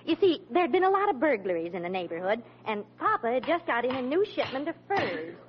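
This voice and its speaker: A woman's voice: a little high, sing-song, fairly quick. An actress playing a chatty wife who tells a story of her husband's younger days in a vintage radio comedy. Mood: reminiscing. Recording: muffled old radio recording, faint noise.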